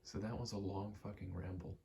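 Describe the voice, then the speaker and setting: A man's voice: deep voice, fairly even intonation, fairly quick, gravelly, mellow, slight background noise. A young man giving a casual, self-deprecating ASMR ramble, swearing freely. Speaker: ASMR video creator.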